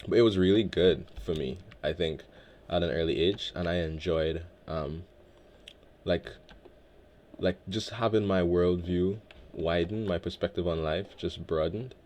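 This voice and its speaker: A man from Guyana hosting a relaxed game let's play, talking in a laid-back, reflective way with many filler words. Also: deep voice, unhurried. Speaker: Minecraft let's play host. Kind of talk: relaxed gameplay commentary.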